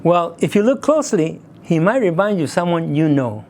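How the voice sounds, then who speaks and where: A man's voice: fairly high pitch, very animated, a little fast, darkish tone. A man hosting a community arts show, reading an upbeat introduction and interviewing a guest. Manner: upbeat, announcing. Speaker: host.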